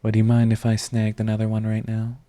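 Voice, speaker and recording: A man playing a proud yet tender, lovestruck villain in a romantic ASMR audio roleplay, speaking in a halting, intimate, earnest way. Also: on the low side, completely flat delivery, mellow, natural voice. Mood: tender, relieved.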